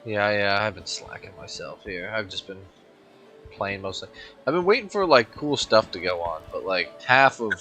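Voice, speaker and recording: Laid-back man, lowish voice. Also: animated, normal speed, pushed and bright, faint music behind. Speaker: streamer. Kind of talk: casual gameplay stream chat.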